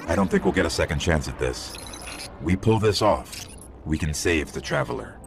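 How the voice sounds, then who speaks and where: A man's voice: low-pitched, lively intonation, moderate pace, vocal fry, noisy background. An actor playing a small, curious male robot AI companion in a video game, speaking in earnest, clipped remarks. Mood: resolute. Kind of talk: video game cutscene voice acting.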